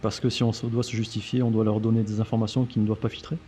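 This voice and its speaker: A man's voice: on the low side, flat delivery, rapid-fire, mellow, natural voice. A man playing a weary, cynical intelligence agent in a French audio drama, with a dry, sardonic, curt manner. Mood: suspicious, weary. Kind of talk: French audio fiction dialogue.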